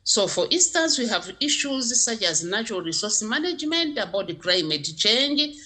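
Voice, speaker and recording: A woman's voice: a little high, normal speed. A woman from Malawi, a geography teacher giving emphatic interview answers. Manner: emphatic, animated explaining.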